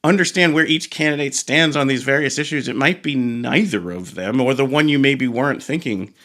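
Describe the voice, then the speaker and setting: A man hosting an interview in a relaxed, encouraging way, with many fillers. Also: medium pitch, brisk. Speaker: show host. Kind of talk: casual interview chat.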